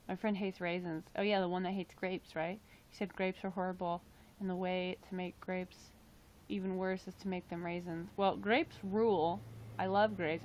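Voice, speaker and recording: A young woman live streaming, chatting casually in a raspy, tired voice, rambling and swearing now and then. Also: lowish voice, darkish tone, slight background noise.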